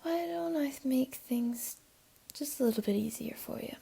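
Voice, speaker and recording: A woman voicing a flirty, teasing, comforting jaguar beastling in an intimate ASMR roleplay, speaking softly and coaxingly in a half-whisper. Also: sits high, normal speed, vocal fry, breathy edge, heavily acted. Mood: reassuring, teasing.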